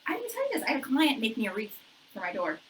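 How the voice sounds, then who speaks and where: A woman realtor co-hosting a casual chat from her office, bubbly and chatty with a loud voice that carries, laughing often. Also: fairly high pitch, huge pitch swings, rapid-fire, far from the mic, slight background noise. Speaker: realtor co-hosting a local real estate show.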